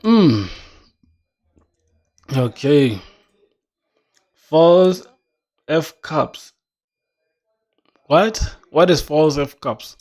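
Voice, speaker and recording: A man's voice: fairly high pitch, big pitch swings, drawn-out words, long pauses, a little mellow. A young man from South Sudan, a self-taught programmer, thinking aloud while he codes, reading documentation and talking casually. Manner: casual, musing, reads docs aloud, says "bro".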